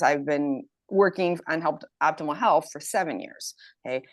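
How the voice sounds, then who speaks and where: A woman's voice: low-pitched, dry recording. A woman in her fifties sharing her health journey in a casual group chat, eager and reading a quote aloud. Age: 50s. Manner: enthusiastic, reads quotes aloud. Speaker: health program member.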